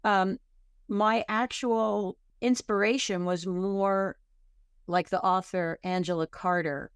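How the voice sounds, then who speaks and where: A woman author being interviewed on a podcast, answering thoughtfully and often pausing to rephrase. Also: medium pitch, steady tone, smooth, dry recording, studio quality. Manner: thoughtful, self-correcting, searching for words. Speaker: author guest.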